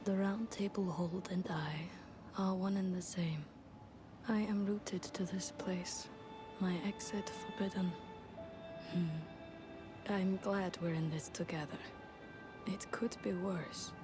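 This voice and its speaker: Resigned, imprisoned female caretaker for a fantasy game: lowish, unhurried, hushed and formal, over clear music. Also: steady tone. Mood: quietly resolute.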